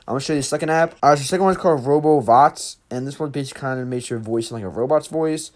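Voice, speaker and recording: A man's voice: medium pitch, rapid-fire. Casual man hosting an app tutorial. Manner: informal, rambling, addressing viewers as guys. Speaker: tutorial host.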